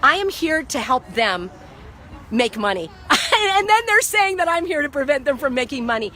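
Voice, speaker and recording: A woman's voice: high-pitched, very animated, moderate pace, pushed and bright. A woman vlogging outdoors at a market into her phone, speaking with passion and lecturing, often repeating herself. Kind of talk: outdoor phone vlog, heated argument. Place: outdoor market parking lot. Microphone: phone held by the speaker.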